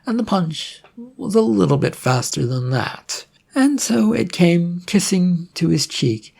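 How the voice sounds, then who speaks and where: A man's voice: a little high, creaky, darkish tone. A man reading a scripted adventure story aloud as its narrator.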